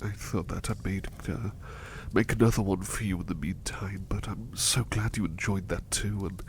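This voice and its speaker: Casting: male actor as tender, devoted butler for ASMR roleplay; hushed, hesitant, courteous, gravelly low register, faint noise and rumble behind. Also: fairly even intonation, normal speed. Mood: grateful, under the weather. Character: gentle, devoted male butler, doting and apologetic. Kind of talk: ASMR roleplay, soft personal attention.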